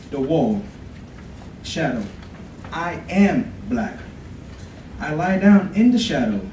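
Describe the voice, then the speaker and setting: A man reciting a poem at a podium in a college lecture room, with slow, dramatic, swelling delivery. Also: on the high side, a little mellow. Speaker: student contestant. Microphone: podium mic from a far camera. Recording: echoey room, far mic, faint hiss.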